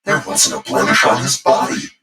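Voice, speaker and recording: A man voicing a sweetly sinister little old landlady in a spooky bedtime story, with gentle, genteel, drawn-out phrasing. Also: a little hiss or hum, natural voice. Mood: wistful, admiring. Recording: echoey, distant voice over music.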